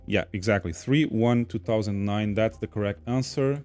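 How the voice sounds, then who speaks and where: Portuguese guy hosting a chat stream, low-ish voice, laughs easy, soft music in the back. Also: moderate pace. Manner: chatty, reads viewer comments aloud, laughs easily. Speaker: podcast host reading chat. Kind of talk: casual livestream chat.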